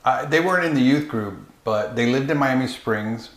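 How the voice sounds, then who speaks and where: A man's voice: mid-range voice, moderate pace. A man, a pastor hosting the show, asking short encouraging follow-up questions in a casual interview. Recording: roomy echo with faint music.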